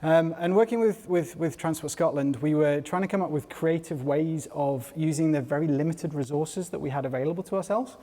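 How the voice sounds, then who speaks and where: A man with a softened Geordie accent explains things at length as a panel guest, measured and full of ums. His voice is mellow and a little higher than most men's. Long hall reverb surrounds it. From North East England. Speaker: panel guest, company head. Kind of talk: panel talk. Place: large hall with an audience.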